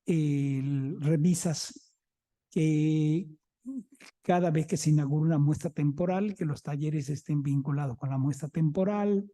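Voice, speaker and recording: Mexican man, museum director at a talk, mellow slightly high voice, anecdotal. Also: a little slow. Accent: Mexican Spanish. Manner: chatty, anecdotal, keeps checking in with the audience. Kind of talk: conference talk.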